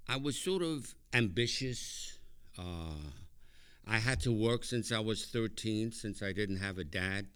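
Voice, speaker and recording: Slow, low-voiced older man speaking. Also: clean tone. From Hungary. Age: older, born 1944. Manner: halting phrases with pauses, dry joking asides. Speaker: speaker at a recovery meeting, lawyer. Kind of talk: personal testimony speech to a group.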